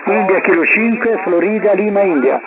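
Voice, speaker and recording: A man's voice: high voice, lively intonation, a little fast, over a noisy crowd. A man calling in on amateur radio, tersely spelling out a callsign in phonetic words again and again. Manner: terse, repetitive. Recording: lo-fi radio transmission, loud static noise.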